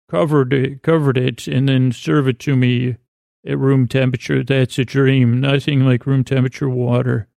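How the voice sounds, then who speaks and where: Man, creaky gravelly voice, mid pitch, halting bedtime-podcast ramble, whimsical, self-deprecating, close dry sound. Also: a little mellow, no room sound. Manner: meandering, halting, repeats and trails off mid-thought. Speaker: podcast host.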